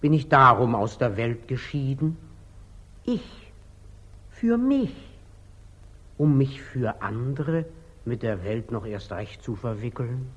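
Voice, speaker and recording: Meek, husky-voiced lay brother. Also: male voice, mid-range voice, animated, slow, pauses often, fairly bright tone. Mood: plaintive. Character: humble, artful, meek lay brother, wary of meddling. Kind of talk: 1940s German radio drama acting. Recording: old muffled 1940s radio recording.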